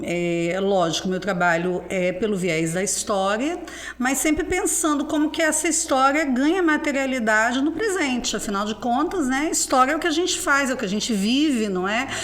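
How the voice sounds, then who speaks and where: A middle-aged woman, speaking as a guest historian. Her voice sits a little high. She tells stories in a lively, laughing way and keeps checking in with tag questions. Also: normal speed. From Espírito Santo, Brazil. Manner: animated, laughing storyteller with frequent tag questions. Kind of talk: casual chat.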